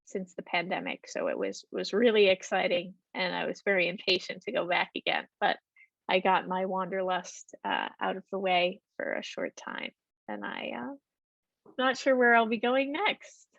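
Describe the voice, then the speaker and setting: Gravelly woman answering questions on a call. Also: mid-range voice, normal speed, fairly bright tone, dry recording. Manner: hesitant, many ums and restarts. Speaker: library travel talk presenter. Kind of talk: casual Q&A over video call. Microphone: video call. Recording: dull lo-fi video call audio.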